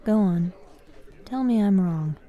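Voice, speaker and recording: A woman playing a bossy but caring young fighters guild master in an ASMR fantasy roleplay, soft-spoken and commanding. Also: mid-range voice, expressive, phone-quality sound. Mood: stern. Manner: soft-spoken, commanding, frequent sighs and pauses.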